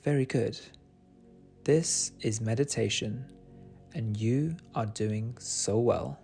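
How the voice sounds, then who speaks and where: A man guiding a meditation in a soothing, gentle and unhurried manner. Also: lowish voice, gravelly, slightly rough. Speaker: meditation guide. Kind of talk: guided meditation narration. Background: soft meditation music.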